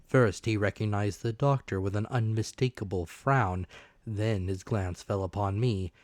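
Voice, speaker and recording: A man's voice: lowish voice, measured pace, darkish tone, no echo, studio quality. A man giving a dramatic narrated reading of a classic adventure novel. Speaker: audiobook narrator.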